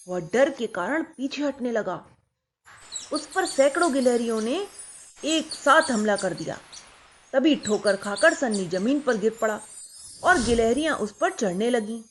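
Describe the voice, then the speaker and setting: A woman narrating a scripted bedtime story for children, addressing kids directly in a clear, expressive way. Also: fairly high pitch, measured pace, a little hiss or hum.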